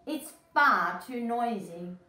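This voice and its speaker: A woman voicing a lazy, fussy female ladybird in a storybook, with plaintive, drawn-out emphasis. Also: a little high, animated, sharp tone, full character voice. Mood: complaining. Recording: echoey room, distant mic, faint noise.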